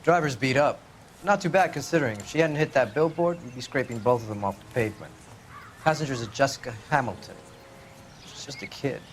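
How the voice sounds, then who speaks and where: A man's voice: medium pitch, brisk, lightly acted. A man playing a blunt, friendly traffic cop.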